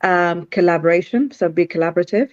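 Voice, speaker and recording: A woman's voice: lowish voice, quick pace, dry recording. Middle-aged woman, CEO guest on a live video call, thoughtful and full of ums, dull dry audio. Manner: thoughtful, many fillers and restarts. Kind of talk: live online interview.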